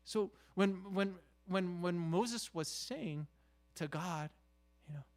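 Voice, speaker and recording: Male preacher, slightly high voice, very unhurried, conversational yet emphatic, halting delivery with rhetorical questions. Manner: halting, with repeated "you know" and rhetorical questions. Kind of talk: conversational sermon.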